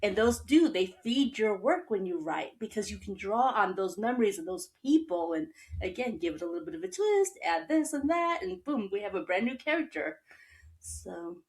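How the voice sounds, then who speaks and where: A woman's voice: mid-range voice, very animated, moderate pace, darkish tone, rough recording. A woman from Texas and the Midwest hosting an interview in a casual, reflective conversational style. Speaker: interview host.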